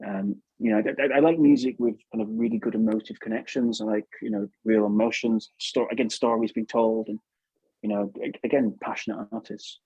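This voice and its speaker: Gravelly, dry-sounding English man chatting. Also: medium pitch, lively intonation, brisk, dark tone, no echo, lo-fi sound. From England. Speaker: puppeteer guest. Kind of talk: casual chat.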